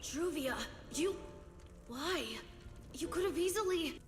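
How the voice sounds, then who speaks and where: Hurt pleading friend, high voice, really slow, anime game drama. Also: female voice, long reverb tail, faint music behind. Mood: hurt, disbelieving. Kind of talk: anime-style video game voice acting.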